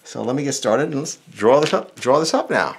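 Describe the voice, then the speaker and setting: Animated American man with a gravelly voice. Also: mid-range voice, fairly quick. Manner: informal, thinking aloud while drawing. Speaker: cartoonist, drawing instructor. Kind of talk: casual step-by-step drawing tutorial.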